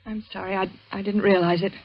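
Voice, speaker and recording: An actress playing an anxious, high-strung young woman in a 1940s radio drama, hesitant and insistent. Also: little pitch movement, fast talker, natural voice. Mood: agitated, pleading. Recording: dull, dry vintage radio recording.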